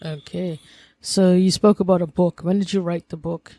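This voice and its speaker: A man's voice: high voice, level tone, moderate pace, mellow, dry recording. A man hosting a podcast, asking short questions and giving brief acknowledgments. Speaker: podcast host. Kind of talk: interview questions.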